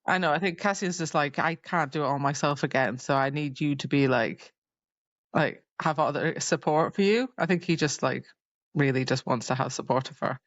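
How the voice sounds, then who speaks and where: A woman's voice: deep voice, moderate pace, dry recording, lo-fi sound. A woman co-hosting a podcast, chatting casually and retelling a story by acting out the dialogue. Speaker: podcast co-host. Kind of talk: casual chat recapping a fantasy novel.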